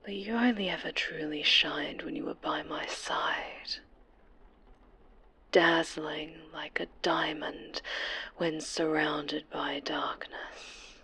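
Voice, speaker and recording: A woman playing an obsessive, menacing supervillain stalker in a yandere ASMR roleplay, breathy and often whispering, with a syrupy, taunting delivery. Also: low-pitched, steady tone, slow pace, bright, forceful tone, heavily acted. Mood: possessive, adoring.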